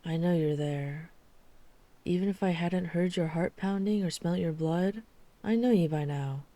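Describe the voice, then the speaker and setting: Woman acting a guarded vampire hunter lady, low soft-edged dark voice, serious and measured, asmr audio drama. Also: lightly acted. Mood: dry, matter-of-fact. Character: guarded, weary female vampire hunter who is herself a vampire.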